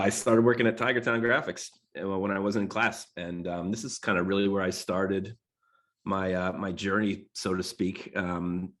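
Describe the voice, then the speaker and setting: A deep, low-pitched American man in his forties talks casually to a class. He sounds nasal from a cold and clears his throat often. He rambles, restarts his sentences and leans on fillers. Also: a bit bright. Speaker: guest designer giving a talk. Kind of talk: casual talk to a design class.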